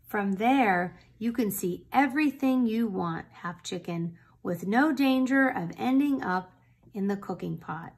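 Brief: gentle male wind, reassuring, a woman acting it, storybook. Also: medium pitch, expressive, unhurried, slightly rough. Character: kindly wind spirit, male, calm.

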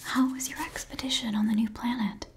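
A woman playing a calm, formal spaceship decontamination officer in a whispered, unhurried ASMR roleplay. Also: fairly high pitch, close to an everyday voice. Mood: calm, clinical.

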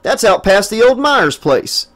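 A man's voice: high voice, very animated, a little slow, a bit bright, voice pushed up, full character voice. A man reading an audiobook, voicing an eager, helpful male deputy.

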